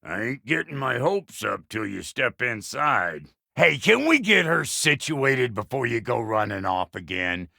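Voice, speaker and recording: An actor playing a gruff, foul-mouthed dwarf blacksmith in a video game, with a raspy voice, folksy rustic slang and swaggering insults. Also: male voice, mid-range voice, sing-song, unhurried, hardly any pauses, pushed and bright, no echo, clean studio sound, heavily acted. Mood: gruff, grumbling. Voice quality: gravelly, raspy. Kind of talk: video game character acting.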